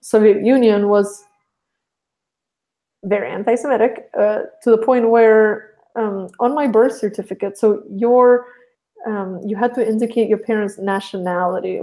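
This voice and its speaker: A woman's voice: medium pitch, unhurried, dark tone, low-quality recording. Rambling woman from Russia, chatty. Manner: rambling, with many restarts and fillers. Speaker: podcast guest. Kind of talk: casual podcast conversation.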